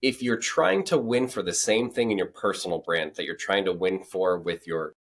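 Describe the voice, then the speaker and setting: A man's voice: low-pitched, moderate pace. A man talking conversationally as an expert guest on a podcast, explaining things with assurance.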